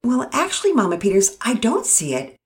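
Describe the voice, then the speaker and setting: A woman reading a storybook, voicing a calm father who asks gentle questions. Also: on the high side, brisk, pitched above the performer's own voice.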